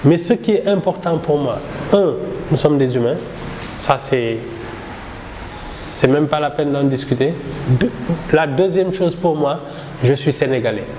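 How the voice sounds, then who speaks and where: A middle-aged man from Senegal, the founder of a satirical newspaper, answering interview questions in a measured, reflective way while gesturing a lot. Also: a little high, expressive, a little mellow, slight background noise. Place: small indoor room with poster backdrop. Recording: roomy with reverb and faint ambience.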